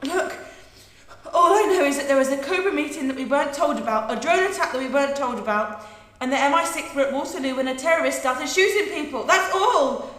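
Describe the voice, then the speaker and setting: A woman's voice: high-pitched, fairly quick, a bit bright. A woman playing a terrified captive journalist in a radio drama, pleading and stammering. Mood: fearful. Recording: echoey hall reverb, music behind.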